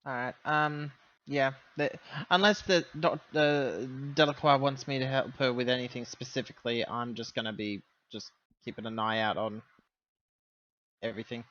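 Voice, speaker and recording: A man's voice: mid-range voice, steady tone, moderate pace, fairly bright tone, highs cut off. A man chatting casually as a player in a tabletop roleplaying game.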